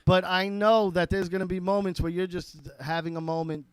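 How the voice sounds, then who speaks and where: A man chatting casually as a guest, rambling and halting, with lots of fillers. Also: sits high, level tone, clean tone, dry recording. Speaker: guest, husband. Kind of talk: casual chat.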